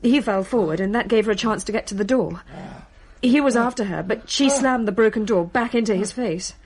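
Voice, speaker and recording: A woman's voice: a little high, brisk, darkish tone, natural voice. An actress playing an exasperated, shaken daughter who recounts her family's haunting vividly and emphatically in a radio drama. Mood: shaken, urgent.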